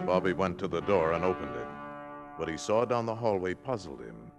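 An American man narrating a 1950s radio drama as a wry, suspenseful storyteller, with measured, theatrical phrasing. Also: low-pitched, lively intonation, gravelly. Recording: vintage 1950s radio broadcast. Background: dramatic music.

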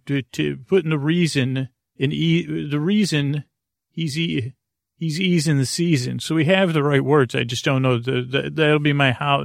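A man hosting a sleep podcast, rambling and getting sidetracked in a soft, creaky voice, mocking himself as he goes. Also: fairly high pitch, dry recording, clean studio sound. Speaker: podcast host. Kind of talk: rambling bedtime podcast talk.

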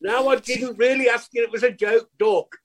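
A man's voice: very high voice, steady tone, normal speed, a crowd in the background. A man in his sixties on a video call, chatting casually about football, teasing the others and asking rhetorical questions. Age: 60s. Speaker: podcast panelist. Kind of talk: casual football fan chat.

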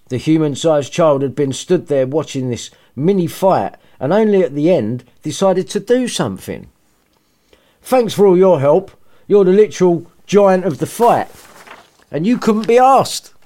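A man's voice: a little high, darkish tone. Man reading a story with sarcastic asides.

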